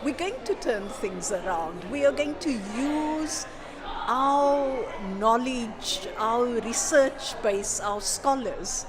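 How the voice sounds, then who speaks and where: A woman's voice: on the high side, animated, slow pace, poor audio. A woman speaking in an inspired, rousing way in an event interview. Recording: crowd noise behind.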